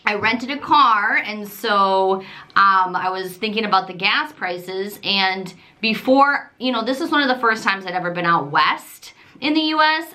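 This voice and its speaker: A woman from Michigan with a Midwestern accent with a Southern trace, telling a travel story to camera in a chatty, rambling way. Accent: Midwestern American with a trace of Southern. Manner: chatty and animated, lots of fillers and repetition, jumps pitch when quoting herself. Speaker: travel vlogger.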